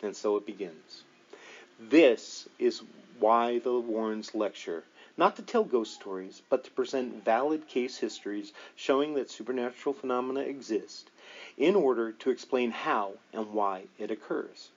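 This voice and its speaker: A man's voice: mid-range voice, a little slow. Man reading an audiobook, slightly muffled sound. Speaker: audiobook reader. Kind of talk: scripted narration of a nonfiction book.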